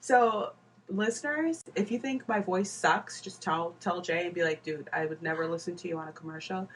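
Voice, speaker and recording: A woman's voice: fairly low pitch, moderate pace. A woman co-hosting a podcast, chatting casually and saying "like" a lot. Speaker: podcast co-host. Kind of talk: casual chat. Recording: distant mic with faint noise.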